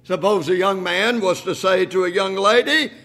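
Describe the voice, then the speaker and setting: A man in his sixties preaching a sermon, asking rhetorical questions and slowing to a quiet, deliberate pace for emphasis. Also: high-pitched. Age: 60s. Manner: repeats "if you please" and "think about this".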